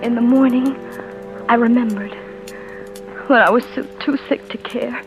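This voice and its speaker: Scared jittery young wife from an old radio thriller, high voice, stammers a lot, music under her. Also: steady tone, unhurried, highs cut off. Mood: tense. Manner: breathless, stammering first-person narration. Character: frightened young wife turning murderous, tense. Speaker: narrator and lead character. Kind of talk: 1940s radio drama acting.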